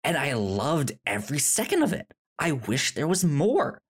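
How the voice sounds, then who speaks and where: A podcast co-host in casual film discussion, speaking haltingly and often stammering on repeated syllables. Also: mid-range voice, big pitch swings, slightly rough.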